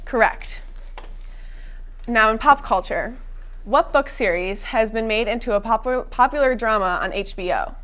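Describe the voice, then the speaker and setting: A young woman with a slightly high voice hosts a student-made quiz show in a college TV studio. She talks in an upbeat way but stumbles now and then, with ums, uhs and restarts as she explains the rules. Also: normal speed, fairly bright tone, a little hiss or hum. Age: young adult. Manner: upbeat, with frequent fillers and restarts. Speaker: game show host.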